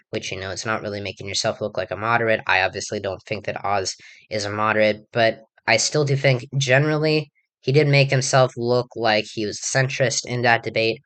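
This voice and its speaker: A hoarse young man giving a casual election prediction monologue, rambling with many fillers and restarts. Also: medium pitch, a bit bright. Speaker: political commentator.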